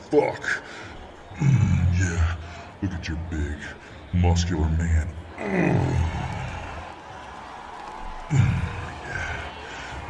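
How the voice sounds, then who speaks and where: Man acting as dominant muscleman boyfriend, gravelly, unhurried, swaggering grunts, devoted tough guy, ASMR roleplay. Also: low-pitched, distant mic, noisy background, full character voice. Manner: breathy grunting, swaggering, drawn-out exertion. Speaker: audio roleplay performer.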